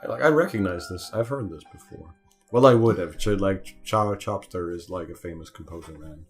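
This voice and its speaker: A man's voice: low voice, moderate pace. A man giving wry, rambling, casual commentary on a video game alongside a co-host. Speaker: Let's Play co-commentator. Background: game music.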